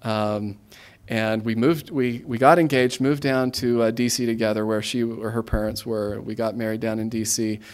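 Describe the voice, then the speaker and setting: Halting American man with a lowish voice. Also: steady tone, darkish tone, smooth. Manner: conversational, halting, self-interrupting. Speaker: guest speaker, government aid regional coordinator. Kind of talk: informal career talk to students.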